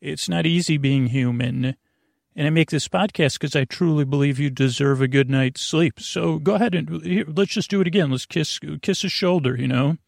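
A man with a gravelly voice hosting a bedtime podcast in a halting, whimsical, rambling monologue that keeps interrupting itself. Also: a little high, dry recording, clean studio sound. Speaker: podcast host.

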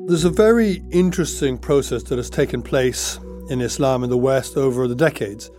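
A man's voice: mid-range voice, moderate pace, music in the background. A man who works as a foreign affairs editor, explaining his analysis in an interview.

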